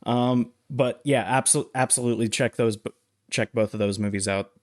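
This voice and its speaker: A man co-hosting a casual film discussion, explaining things in a halting, measured way. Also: mid-range voice, fairly even intonation. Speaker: podcast co-host.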